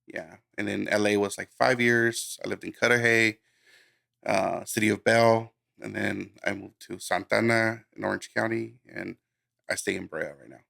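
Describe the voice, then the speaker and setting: Guy music producer from guatemala, raised in the us, low voice w lots of fry, casual halting interview chat. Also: steady tone, bright, forceful tone. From born in Guatemala, raised in the United States. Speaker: music producer, podcast guest.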